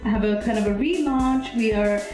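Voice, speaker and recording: A woman's voice: medium pitch, darkish tone, echoey room, soft background music. A middle-aged woman, a guest telling her story in a chatty interview. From Pakistan. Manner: fast, chatty, mixes Urdu and English. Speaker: guest, anthropology professor. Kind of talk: interview conversation.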